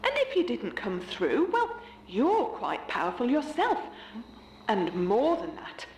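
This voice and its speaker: A woman's voice: a little high, huge pitch swings, measured pace, heavily acted. A woman playing a gleefully menacing killer clown mannequin in a theatrical audio drama, playful and sinister. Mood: gleeful menace. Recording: echoey room, faint background noise. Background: ambience.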